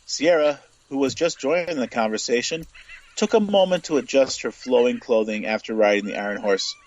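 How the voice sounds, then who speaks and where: A man's voice: medium pitch, normal speed, lo-fi sound. A man reading scripted Western fiction aloud as the narrator of an audio story.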